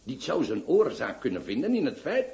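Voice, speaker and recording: An actor playing a pompous, eccentric doctor who lectures and scolds grandly in a radio play. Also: male voice, mid-range voice, huge pitch swings, normal speed, gravelly, darkish tone. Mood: lecturing. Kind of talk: radio drama acting.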